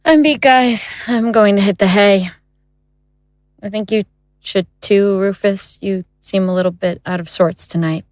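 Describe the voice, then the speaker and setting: A woman's voice: medium pitch, a little slow, dry recording, clean studio sound, close to an everyday voice. A woman playing a troubled, easily bewildered woman in an audio drama. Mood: weary, friendly.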